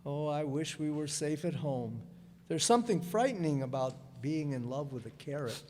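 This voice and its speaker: An earnest, lovestruck navy commander in a radio play, acted by a man. The voice sits a little high, loyal and slightly bewildered, in a reverberant hall with faint noise behind. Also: sing-song, moderate pace, warm tone. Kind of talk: stage radio drama acting.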